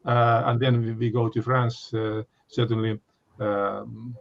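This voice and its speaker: An older man from Finland with a Finnish accent, giving an unscripted academic talk in a halting way, full of fillers and restarts. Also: mid-range voice, level tone, creaky. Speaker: lecturer. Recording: dull lo-fi recording.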